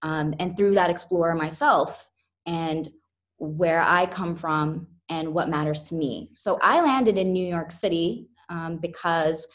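Woman, young adult, from the United States, low voice, earnest, reflective, restarts often. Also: slow pace. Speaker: guest. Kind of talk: interview conversation.

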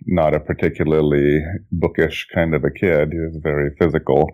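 A middle-aged man from Indonesia, a guest telling his life story in a relaxed, reflective way, often restarting his sentences and chuckling. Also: extremely low pitch, normal speed. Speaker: guest, children's book author and graphic designer. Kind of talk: relaxed interview conversation. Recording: dull lo-fi audio.

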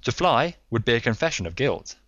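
A man voicing a calm, stern Victorian detective who speaks in a measured way. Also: medium pitch, no room sound, highs cut off, voice pushed up. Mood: assured.

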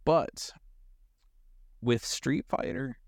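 A man's voice: mid-range voice, sing-song, extremely slow, creaky, darkish tone, no echo. Easygoing man in casual chat, dry sound. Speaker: podcast co-host. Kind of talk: casual opinionated movie chat.

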